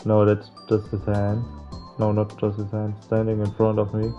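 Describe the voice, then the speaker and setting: A man reading visual novel text aloud as a playthrough host, stumbling over words now and then in a halting way. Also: on the low side, completely flat delivery, warm tone. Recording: muffled lo-fi mic, music behind. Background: game music.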